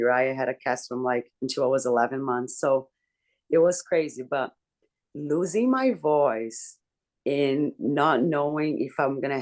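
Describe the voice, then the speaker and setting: A woman with a deep voice, earnestly telling a personal story to her book club over a video call. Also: a little mellow. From Brazil. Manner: earnest, candid, reflective storytelling. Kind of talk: personal story in a group discussion.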